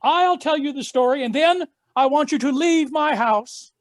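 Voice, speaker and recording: A woman's voice: high-pitched, moderate pace, no echo, lightly acted. A woman acting in a stage farce as a haughty, theatrical man who poses as his own daughter.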